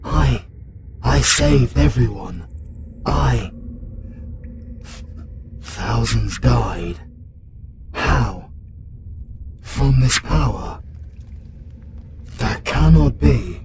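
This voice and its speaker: British man as a tormented demon hunter, gravelly, very slow and halting, fantasy audio drama. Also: medium pitch, animated, background noise. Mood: anguished. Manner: anguished, halting, breaking into shouts. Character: grief-stricken male demon hunter, tormented. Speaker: voice actor. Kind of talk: fantasy ASMR audio roleplay.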